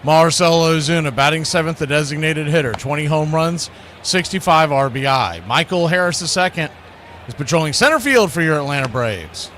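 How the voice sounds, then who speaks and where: A man's voice: fairly high pitch, expressive, normal speed, a bit bright, slight background noise. A man announcing sports and sponsor spots in a showy, folksy radio play-by-play style. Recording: music bed under the voice. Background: music.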